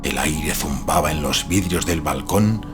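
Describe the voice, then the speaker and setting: A man with a low, deep voice narrates a gothic literary tale. His storytelling is measured and dramatic. Music plays softly behind the narration. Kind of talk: scripted literary horror narration.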